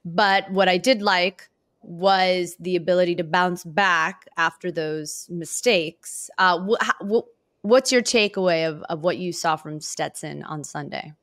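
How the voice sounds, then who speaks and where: A woman with a fairly low voice hosts a casual football interview, recorded dry with little room sound. She phrases things loosely and haltingly, thinking aloud as she works through her points and questions. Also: a bit bright, no room sound. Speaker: sports podcast host.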